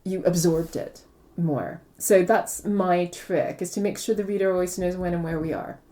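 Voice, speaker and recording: Mellow, lower-pitched woman author. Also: steady tone, moderate pace. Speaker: author being interviewed. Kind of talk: explanatory interview talk.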